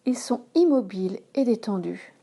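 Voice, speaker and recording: A woman yoga instructor leading a guided relaxation in a calm, measured manner, giving instructions in short phrases. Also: fairly high pitch, vocal fry, husky. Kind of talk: slow guided relaxation meditation.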